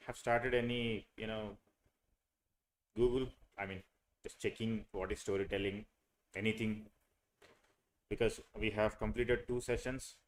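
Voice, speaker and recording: A young man, teaching a live online class on a video call, hesitant with many fillers and restarts. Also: lowish voice. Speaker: online course instructor.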